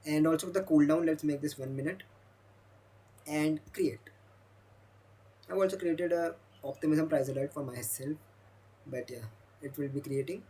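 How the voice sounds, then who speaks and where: A young man, a Hindi speaker, walking through a demo of his own software while thinking aloud. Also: fairly high pitch, moderate pace, long pauses, husky. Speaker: software developer demoing his app. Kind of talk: screen-recorded product demo.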